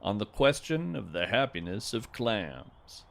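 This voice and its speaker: A man playing a gentle, folksy naturalist who hosts a scripted field podcast with earnest, lyrical wonder. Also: on the low side, sing-song, creaky, heavily acted. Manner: deliberate, lyrical, earnest delivery. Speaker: podcast host and narrator. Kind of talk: scripted narration with poetry reading.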